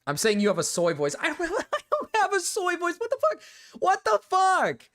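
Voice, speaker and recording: A young man streaming casually, excitable and swearing, swinging between loud indignant outbursts and low mumbled asides. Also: moderate pace. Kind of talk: casual reaction stream chat.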